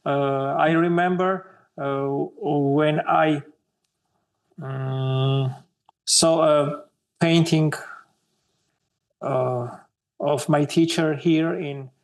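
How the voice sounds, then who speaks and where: A man in his thirties from Armenia, speaking English as a second language with an Armenian accent, slowly searching for words with many hesitations as an interview guest. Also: fairly high pitch, fairly even intonation. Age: 30s. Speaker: guest artist. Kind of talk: interview conversation.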